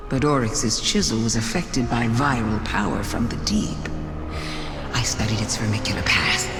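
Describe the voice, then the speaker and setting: Proud scheming witch queen for a game, super slow n theatrical, big echoey reverb. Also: rumbling low, vocal fry, exaggerated voice. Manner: theatrical, deliberate, drawn-out delivery. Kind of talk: dramatic video game monologue. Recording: long echoing reverb, music behind.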